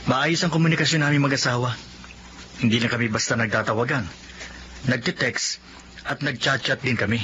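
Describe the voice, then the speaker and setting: A man playing a level-headed, faithful married friend in a Filipino radio drama, calming and concerned. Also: mid-range voice, fairly quick, pauses often, close to an everyday voice. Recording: dull, noisy lo-fi audio.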